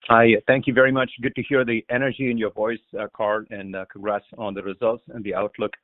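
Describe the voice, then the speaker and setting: A man calling as a financial analyst, asking an unscripted question in a halting way, repeating words as he builds his point. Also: fairly low pitch, level tone, dry recording. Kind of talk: unscripted question on earnings call. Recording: narrowband phone line.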